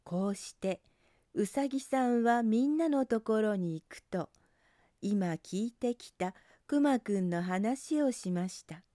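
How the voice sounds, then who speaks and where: A woman storyteller reading a children's picture book aloud in a gentle narrating style. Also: mid-range voice, animated, slow pace, dry recording, clean studio sound. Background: background music.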